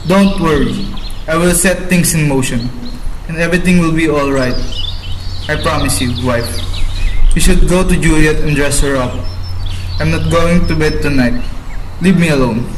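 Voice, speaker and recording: A man's voice: a little high, moderate pace, long pauses, natural voice. Man acting as a bossy father, noisy recording. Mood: reassuring. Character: bossy, blustering middle-aged father, head of household. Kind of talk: student radio drama acting. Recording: amateur recording with background noise.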